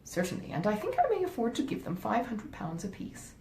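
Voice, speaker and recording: Woman reading as a grave, mellow gentleman husband. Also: wildly swooping pitch, a little fast. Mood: self-satisfied. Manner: earnest, pompous, deliberating. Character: grave, well-meaning but easily swayed gentleman husband. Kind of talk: acted dialogue in a classic novel reading. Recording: roomy echo, faint rumbling noise.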